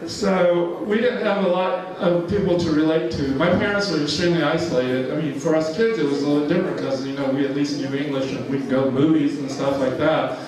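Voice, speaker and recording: A man's voice: fairly high pitch, fairly even intonation, fairly quick, hardly any pauses, a crowd in the background. A man in his seventies from Georgia giving an informal talk with slides, rambling with lots of fillers and frequent chuckles. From Georgia, USA. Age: 70s. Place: large church hall. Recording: echoey hall, mic far off.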